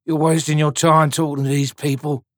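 A man's voice: medium pitch, moderate pace, breathy edge, no echo, studio quality, natural voice. A man reading an audiobook voicing a nasty, sneering paramilitary policeman with a snarling, contemptuous manner.

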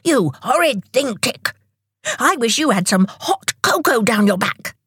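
A woman voicing a bossy, sulky, quick-tempered young brother in a children's storybook. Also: medium pitch, very animated, breathy edge, no room sound, studio quality, pitched above the performer's own voice, heavily acted. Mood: squealing anger.

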